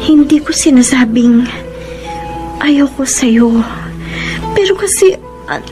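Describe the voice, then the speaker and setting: A high-voiced, hesitant young escort. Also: female voice, lively intonation, takes it slowly. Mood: gently guarded. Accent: Filipino. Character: young female escort, modest, hesitant, tender, guarded. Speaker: actress in a radio drama. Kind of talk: Filipino radio drama acting. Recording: music under the voice.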